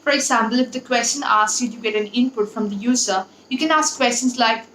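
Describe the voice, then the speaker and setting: A woman's voice: on the high side, fairly quick, fairly bright tone. A young woman giving tips in a casual, chatty and playful vlog style, with emphatic delivery. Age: young adult. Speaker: YouTube host giving interview tips. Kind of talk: casual scripted advice vlog. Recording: echoey room with background noise.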